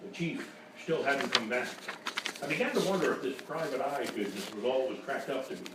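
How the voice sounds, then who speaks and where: An actor playing a boastful, bumbling private eye, narrating in blustering deadpan in a parody of old radio detective drama. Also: male voice, medium pitch, brisk, close to an everyday voice. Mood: world-weary. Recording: very echoey, distant, noisy live stage recording.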